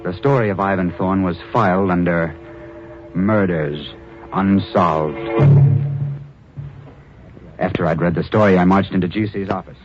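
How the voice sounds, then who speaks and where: Low-voiced reporter narrator, old radio drama, music under, dull sound. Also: male voice, fairly even intonation, measured pace, pauses often. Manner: earnest storyteller, hesitant repeats. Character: newspaper reporter narrating his story, adult man.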